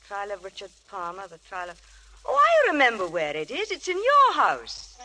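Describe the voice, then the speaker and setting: A woman's voice: medium pitch, moderate pace, poor audio. An actress playing a chatty, keen woman mystery novelist in an effusive 1940s radio drama style. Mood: brisk, chatty. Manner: effusive, animated. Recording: old radio broadcast recording.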